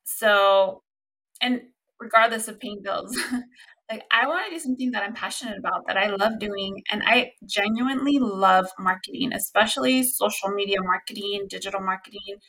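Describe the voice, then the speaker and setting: Bright-voiced chatty young woman podcaster. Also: normal speed, rough recording. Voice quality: squeaky voice. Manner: chatty, earnest, lots of fillers like "um" and "like". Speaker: podcast host. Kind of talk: casual solo podcast talk.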